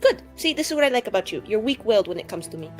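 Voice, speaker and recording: A woman acts out a brash young female schemer in a tabletop game. She rambles in casual slang, teasing and cajoling with mock sincerity, her pitch in a middling range. Music plays faintly behind her. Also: sing-song, rapid-fire, lightly acted. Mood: insistent, wheedling. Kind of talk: improvised tabletop roleplay dialogue.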